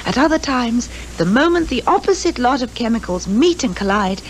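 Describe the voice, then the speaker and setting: An actress playing a chatty, scatty secretary with a British accent, fluttery and opinionated, in 1950s radio drama style. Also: female voice, on the high side, expressive, moderate pace, natural voice. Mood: rambling, enthusiastic. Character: chatty, scatty female secretary, eager to please. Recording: noisy 1950s radio recording.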